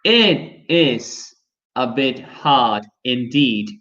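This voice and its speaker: A man's voice: mid-range voice, lively intonation, extremely slow, fairly bright tone. A young adult man with a Brazilian Portuguese accent teaching a live online English class, chatty and full of fillers. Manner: chatty, many fillers like "you know". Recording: dull lo-fi stream audio.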